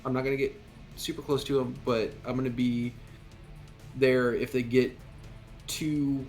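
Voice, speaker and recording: A man's voice: mid-range voice, flat delivery, unhurried, darkish tone. A man chatting casually as a player in a tabletop roleplaying game. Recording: music behind the voice. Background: music.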